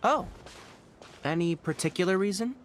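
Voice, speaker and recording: An actor playing a composed, knowledgeable young forest ranger in a video game, explaining things in a measured, practical, matter-of-fact way. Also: male voice, high voice, lively intonation, very noisy. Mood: composed, instructive. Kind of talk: video game character dialogue.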